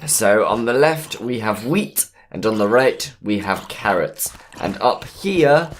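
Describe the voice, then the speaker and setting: Hoarse man, unhurried, thinking aloud, let's-play commentary. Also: on the low side, lively intonation, slight background noise. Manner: plans aloud, easygoing and punny. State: hoarse from a cold. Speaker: gameplay commentator.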